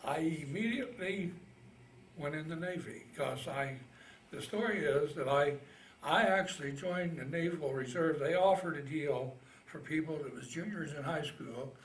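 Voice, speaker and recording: Elderly Midwestern man, roomy distant recording. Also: fairly high pitch. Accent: American Midwestern. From Indiana, United States. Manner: halting, folksy plain speech. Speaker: Navy veteran being interviewed. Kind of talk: casual oral history recollection. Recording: roomy, distant mic, faint noise.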